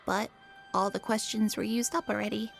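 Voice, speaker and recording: An actress playing an earnest, concerned young woman in an animated drama, speaking plainly. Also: medium pitch, moderate pace, creaky, faint music behind, lightly acted. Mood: uneasy.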